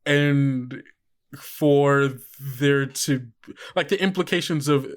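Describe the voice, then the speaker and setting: Casual man thinking out loud. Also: on the high side, smooth. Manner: halting, thinking aloud, frequent hedging. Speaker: podcast host and game facilitator. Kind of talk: casual unscripted tabletop role-play discussion.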